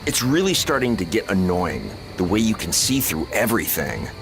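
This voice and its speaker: A man playing a sharp-tongued, scornful but loyal strategist in a dramatic video game, speaking bluntly and articulately. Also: sits low, wildly swooping pitch, normal speed, soft background music, full character voice. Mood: disdainful.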